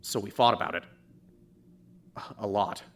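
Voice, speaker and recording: A man voicing a shaken teenage boy in a fan audio drama, who talks haltingly and stumbles over repeated words. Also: lowish voice, fairly even intonation, natural voice. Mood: broken, hushed.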